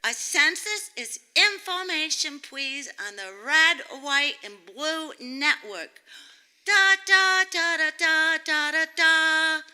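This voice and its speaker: Shrill, pesky little girl. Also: lively intonation, slow, pushed and bright, exaggerated voice. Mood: smug, playful. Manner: childish, insistent, repeats herself. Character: pesky, chatty little neighbour girl, cheeky and contrary. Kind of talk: old-time radio comedy acting.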